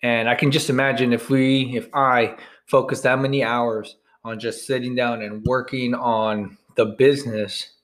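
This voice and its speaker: A man's voice: mid-range voice, flat delivery, measured pace, hardly any pauses, fairly bright tone. A man co-hosting a podcast in casual chat, rambling and frank, swearing freely as he thinks aloud. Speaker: podcast co-host.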